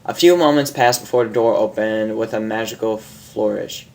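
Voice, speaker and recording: A man's voice: on the low side, moderate pace, gravelly, a little mellow. A man narrating a story as an amateur host, informal, stumbling over lines and correcting himself. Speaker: fan fiction reader and host.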